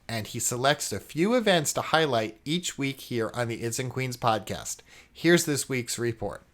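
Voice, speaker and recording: A male podcast host with a medium-pitched voice delivers scripted announcements. He phrases things clearly and has the polished manner of a presenter. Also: animated, normal speed, a bit bright.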